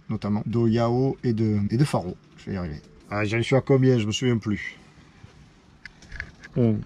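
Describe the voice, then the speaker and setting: A man's voice: on the high side, darkish tone. A man talking casually to camera in a rambling, self-correcting way, showing his watercolour cards from a boat. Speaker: vlogger and watercolour painter. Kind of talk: casual vlog talk to camera.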